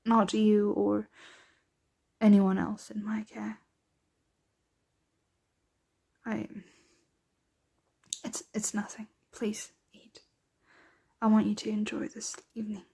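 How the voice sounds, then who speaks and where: A woman playing a centuries-old, doting, aristocratic vampire mistress in an ASMR roleplay, speaking softly, tenderly and without hurry, often slipping into whispers. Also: mid-range voice, level tone, gravelly, husky. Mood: wistful, protective. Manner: soft, unhurried, tender, often drifting into whispers.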